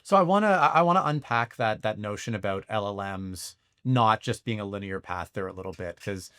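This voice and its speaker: A man's voice: on the low side, expressive, fairly quick, fairly bright tone, smooth, dry recording, studio quality. A man speaking as a podcast host and interviewer. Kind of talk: conversational interviewing.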